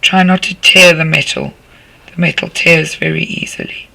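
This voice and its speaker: A man with a croaky voice, patiently walking a class through a craft demonstration step by step as their art tutor. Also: on the high side, steady tone, normal speed, pushed and bright, low-quality recording. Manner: patient, instructive, talking while working with hands.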